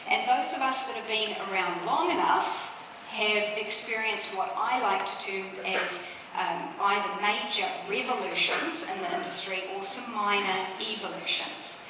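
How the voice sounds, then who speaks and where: A woman's voice: medium pitch, moderate pace, noisy background. A middle-aged woman with a New Zealand accent giving a conversational conference keynote with fillers and asides, recorded in a large hall. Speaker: conference speaker. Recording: echoey hall, distant from mic.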